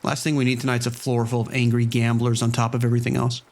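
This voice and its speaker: An easygoing male casino boss, played by an actor in a radio drama. His voice sits at a medium pitch. He is watchful and supportive, speaking casually and in a measured way. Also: completely flat delivery, darkish tone, close to an everyday voice. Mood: steady, practical. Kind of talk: scripted horror audio drama radio exchange.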